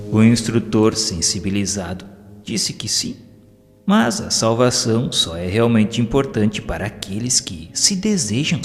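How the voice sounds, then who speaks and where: A man with a Brazilian accent reads a scripted audio novel as its narrator, in a solemn, formal and measured literary manner. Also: on the low side. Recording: long hall reverb, music underneath. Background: background music.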